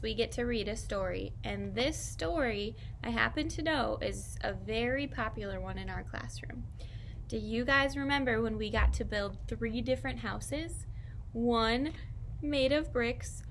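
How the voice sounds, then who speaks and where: A woman teacher reading aloud and talking directly to young children in simple, expressive language. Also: fairly high pitch, vocal fry, slight background noise. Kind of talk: children's read-aloud and lesson.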